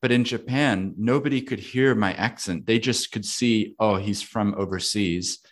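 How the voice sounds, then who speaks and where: A man's voice: lowish voice, normal speed. A man with an American accent, raised in New Zealand, talking casually and thoughtfully about his life, laughing now and then. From United States. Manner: thoughtful, laughs often, asks himself questions aloud. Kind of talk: reflective casual conversation.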